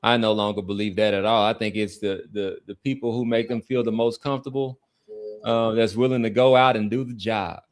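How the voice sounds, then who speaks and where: A man's voice: lowish voice, fairly even intonation, fairly quick. A man co-hosting a sports podcast, musing conversationally and repeating phrases for emphasis. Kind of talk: casual sports commentary.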